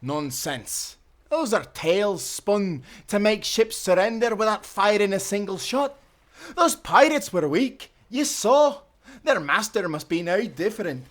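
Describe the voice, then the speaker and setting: A man's voice: high-pitched, very animated, unhurried, fairly bright tone, exaggerated voice. A man playing a bold, defiant Scottish timberman with a swaggering manner in an audio drama. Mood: scornful, defiant.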